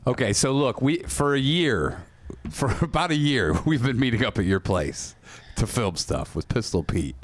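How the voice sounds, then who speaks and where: A man's voice: medium pitch, expressive, moderate pace, no room sound. A man hosting a casual comedy podcast, teasing and probing with questions. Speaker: podcast host.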